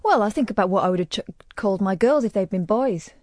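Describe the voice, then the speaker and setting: A woman's voice: mid-range voice, lively intonation, quick pace, darkish tone, no echo. Dry-witted woman co-hosting radio. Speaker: radio co-host. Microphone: studio microphone.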